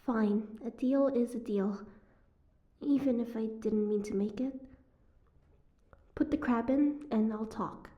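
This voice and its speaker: A woman's voice: fairly high pitch, a little slow, pauses often, muffled recording, natural voice. A woman playing a sardonic, guarded captive mermaid in an audio roleplay, with a wry, teasing, prickly manner. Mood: amused, surprised.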